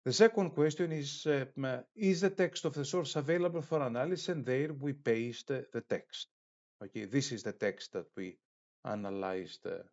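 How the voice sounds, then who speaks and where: A middle-aged man giving an instructional demonstration as an online course lecturer, speaking in a halting, deliberate way with frequent hesitations. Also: medium pitch, expressive, darkish tone. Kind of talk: instructional screen demonstration, unscripted. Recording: dull, muffled lo-fi sound.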